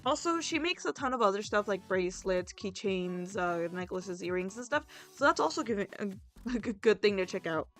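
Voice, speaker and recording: A woman's voice: mid-range voice, lively intonation, brisk. A woman hosting a video in a casual, informal and chatty way, talking directly to her viewers with announcements. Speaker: roleplay series host and video creator. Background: background music.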